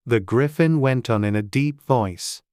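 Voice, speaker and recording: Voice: medium pitch, measured pace, darkish tone, dry recording, studio quality. A narrator reading a children's classic as a scripted storybook audiobook.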